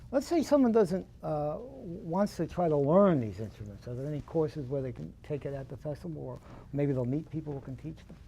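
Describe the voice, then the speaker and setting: A man's voice: on the high side, expressive, a little fast, vocal fry, hardly any pauses, warm tone, slight background noise, low-quality recording. A man hosting a TV studio interview, encouraging his guests and often answering "that's great". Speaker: TV host.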